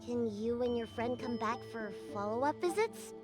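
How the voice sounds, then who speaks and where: A high-pitched, bouncy young girl healer from a video game, played by a woman. She is excitable and easily flustered, stammering and swinging widely in pitch. Her delivery is unhurried, with loud game music behind her. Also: close to an everyday voice. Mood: flustered, concerned. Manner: expressive, stammering when flustered.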